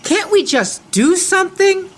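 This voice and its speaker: Woman voicing a high, whiny little engine. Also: lively intonation, unhurried. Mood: exasperated. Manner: complaining. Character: whiny, fed-up young talking steam engine. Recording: music playing behind.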